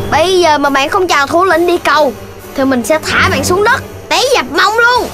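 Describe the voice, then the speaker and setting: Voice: very high voice, animated, normal speed. An actor voicing an eager, boastful little boy puppy in a children's cartoon, theatrical and whiny when thwarted. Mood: gleeful, boastful. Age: child character. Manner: theatrical, exclamatory. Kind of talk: Vietnamese children's cartoon dubbing. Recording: music behind the dialogue.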